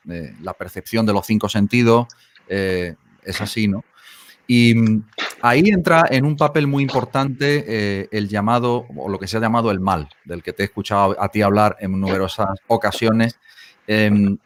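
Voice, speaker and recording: A man's voice: lowish voice, no room sound. A male host asking thoughtful questions with frequent hesitations. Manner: thoughtful, many hesitation fillers. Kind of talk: interview questions.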